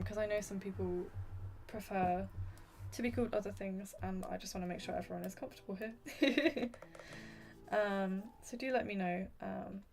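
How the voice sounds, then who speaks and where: Soft-spoken woman with a gravelly, tiring voice. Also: medium pitch. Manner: soft-spoken, apologetic, chatting with viewers. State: voice going hoarse. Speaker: art streamer. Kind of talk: casual livestream chat while drawing.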